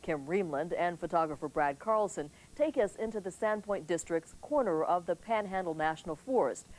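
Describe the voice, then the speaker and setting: A woman's voice: on the low side, moderate pace, a little mellow, low-quality recording. A woman reading local television news as an anchor, in scripted newscast delivery.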